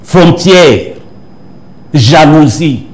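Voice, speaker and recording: A man's voice: fairly high pitch, lively intonation, drawn-out words. A man, a church prophet answering interview questions with an emphatic, preaching cadence. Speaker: prophet and church leader, guest.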